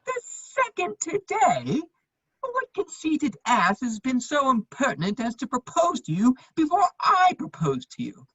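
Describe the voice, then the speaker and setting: A woman playing a charming, lovestruck gentleman in his thirties in a comedy of manners, witty and earnestly pleading, on a muffled recording. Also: high voice, wildly swooping pitch, measured pace, no room sound, full character voice. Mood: flustered ardour. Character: foppish, lovestruck gentleman just over thirty, charming wit. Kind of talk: stage comedy of manners, dramatic reading.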